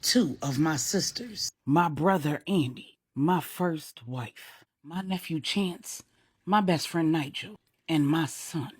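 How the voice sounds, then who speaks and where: A woman voicing an older man, a steady, grieving stepfather, speaking earnestly and pleadingly in a storytelling reading. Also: sits low, slow, slightly rough, dry recording, studio quality, heavily acted. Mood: tender grieving. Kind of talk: audiobook-style storytelling.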